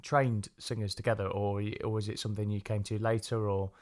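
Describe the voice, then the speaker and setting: A man's voice: on the low side, level tone, brisk. A man hosting a music podcast, chatting in a rambling, easygoing way. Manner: rambling, easygoing, many fillers. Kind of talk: casual interview chat.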